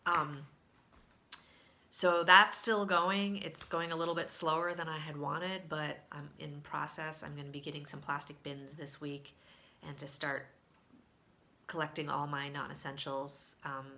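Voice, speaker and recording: A woman's voice: low voice, creaky, pushed and bright, a little hiss or hum. A woman who sounds congested, giving a casual video diary update with lots of fillers and restarts. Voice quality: congested, nasal. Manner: chatty, with many fillers and restarts. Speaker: vlogger sharing a health diary.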